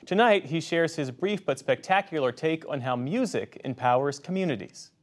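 A man's voice: on the high side, expressive, normal speed. A man reading a scripted introduction for a news program in a polished broadcast manner.

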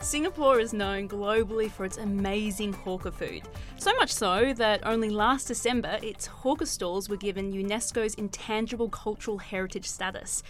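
A woman co-hosting a food podcast, reading scripted narration in a measured, explanatory way. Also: mid-range voice, a bit bright, faint music behind. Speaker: podcast co-host.

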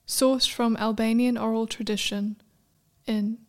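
A woman reading scripted narration for a radio play in a measured, deliberate way. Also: fairly high pitch, flat delivery.

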